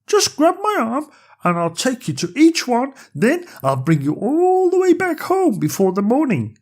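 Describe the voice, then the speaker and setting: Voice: high voice, wildly swooping pitch, a little slow, darkish tone, pitched above the performer's own voice, heavily acted. A storyteller voicing a small, chubby, friendly alien creature who eagerly explains things as a planetary guide in a children's bedtime story. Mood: eager, explaining.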